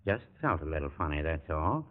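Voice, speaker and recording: An actor playing a cautious older small-town druggist in 1940s radio comedy, mild, hesitant and noncommittal. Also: male voice, very deep voice, a little fast, a little hiss or hum. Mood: gravely ominous. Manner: hesitant, mild, evasive, deadpan. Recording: muffled, narrow-band 1940s radio recording.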